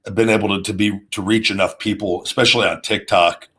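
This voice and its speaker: Low-voiced man in his thirties, rambling casually. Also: steady tone, sharp tone. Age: 30s. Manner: rambling, halting, with repeated restarts. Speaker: show host, former pro wrestler. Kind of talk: casual off-the-cuff monologue answering viewers' questions.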